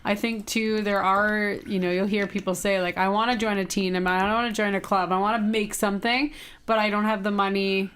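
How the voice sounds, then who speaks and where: A woman's voice: mid-range voice, level tone, fairly quick, a bit bright, slight background noise. A woman co-hosting a podcast, chatting casually and responding with eager, affirming comments. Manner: enthusiastic, affirming, with many backchannels. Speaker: podcast co-host. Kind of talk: casual chat.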